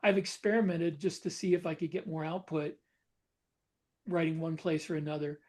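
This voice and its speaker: A middle-aged man co-hosting a writing podcast, talking in a relaxed, rambling way. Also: fairly high pitch, moderate pace. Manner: relaxed, rambling, self-correcting. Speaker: podcast co-host, author. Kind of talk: casual panel chat.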